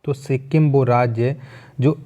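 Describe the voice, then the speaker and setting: Male exam-prep teacher, relaxed dark tone, mid pitch, repeats each question and answer for learners. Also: normal speed. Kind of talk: quiz lesson, reading questions and answers.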